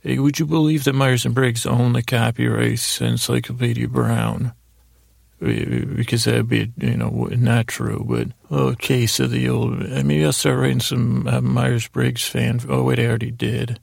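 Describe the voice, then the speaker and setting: A man with a gravelly, creaky voice improvising a bedtime story in a mild, friendly, meandering way, halting and circling back as he goes. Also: mid-range voice, level tone, darkish tone, no echo, studio quality. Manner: meandering, halting, repeats and trails off; mild, friendly, absurdly digressive. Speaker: podcast host telling bedtime stories.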